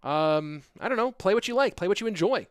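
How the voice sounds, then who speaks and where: A man casually casting an esports stream, reading viewer chat aloud and answering in a relaxed, rambling way. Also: high-pitched, fairly quick, smooth, dry recording, clean studio sound.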